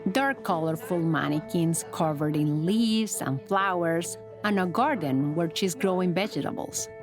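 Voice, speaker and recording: A woman narrating a documentary report in a calm, descriptive, scripted manner. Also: fairly low pitch, a little slow. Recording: studio voice-over with music bed.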